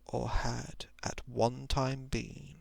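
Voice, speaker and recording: A man narrates a horror tale in a soft ASMR delivery that often sinks into whispering. His voice is heavily gravelly with vocal fry, and he places his phrases deliberately with dramatic pauses. The sound is close and very dry, at an unhurried pace. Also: medium pitch, level tone, dry recording. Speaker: ASMR narrator reading a short horror story. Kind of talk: ASMR story reading, scripted narration. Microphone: close microphone.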